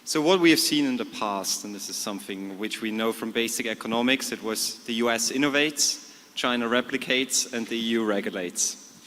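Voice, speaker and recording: A man's voice: medium pitch, moderate pace, sharp tone. A man answering as a legal expert on a conference panel, articulate and explanatory. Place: conference stage in a large hall. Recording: long hall reverb.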